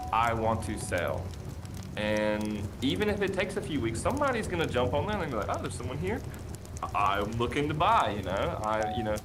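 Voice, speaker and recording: An American man chatting casually on a podcast, talking with enthusiasm, full of fillers and repeated phrases. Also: medium pitch. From United States. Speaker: guest, app promoter. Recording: noisy background.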